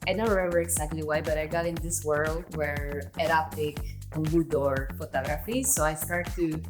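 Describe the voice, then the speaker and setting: A woman's voice: deep voice, fairly even intonation, moderate pace, over music. A woman in her twenties from Venezuela, speaking English with a Venezuelan Spanish accent in a casual, chatty solo Q&A vlog. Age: 20s. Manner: chatty, laughs often, non-native grammar. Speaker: vlogger.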